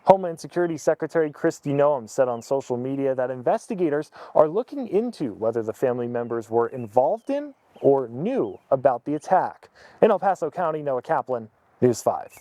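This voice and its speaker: A man's voice: medium pitch, sing-song, brisk, warm tone, dry recording. An American man working as a TV field reporter, delivering a scripted news report. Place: outdoors in a residential neighborhood.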